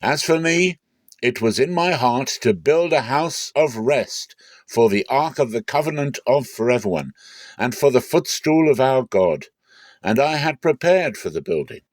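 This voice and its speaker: A man voicing an aged king who solemnly addresses his people, loud, stately and declamatory, in a dramatic scripture reading. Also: mid-range voice, lively intonation, a little slow, dry recording, pitched above the performer's own voice. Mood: proclaiming, stately.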